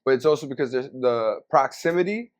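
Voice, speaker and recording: A young man from Venezuela hosts a casual podcast. His voice is smooth and clear at a middle pitch, and he talks in a relaxed, slangy way, musing aloud with plenty of fillers. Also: a little fast. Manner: laid-back, slangy, thinks aloud with fillers. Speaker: podcast host. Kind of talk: casual chat.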